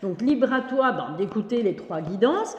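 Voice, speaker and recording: A woman giving an informal tarot reading straight to the viewer, halting and repeating herself as she goes. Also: fairly high pitch, very animated, dull audio. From Belgium. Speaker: tarot reader and online host. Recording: echoey, reverberant room.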